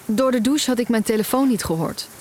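A woman's voice: fairly high pitch, fairly quick, a little mellow, a little hiss or hum, lightly acted. A young woman playing a wry, lovesick music student, confiding informally in an audio drama. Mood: wistful reflection. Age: young adult. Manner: confessional, informal, self-mocking. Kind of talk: audio drama narration and dialogue.

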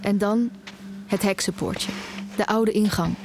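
Young woman played by an actress, anxious audio drama heroine, first-person storytelling, clear music underneath. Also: mid-range voice, steady tone, a little slow, lightly acted. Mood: tense, hushed narration. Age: young adult. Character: anxious young nearly blind student recounting her search. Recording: music behind the voice.

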